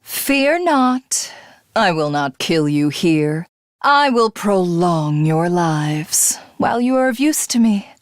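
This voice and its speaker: A woman's voice: lowish voice, animated, slow pace, no echo, studio quality. A woman voicing a haughty alien villainess in a video game, grandiose, theatrical and condescending. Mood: condescending, cruel. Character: haughty female alien commander craving godhood.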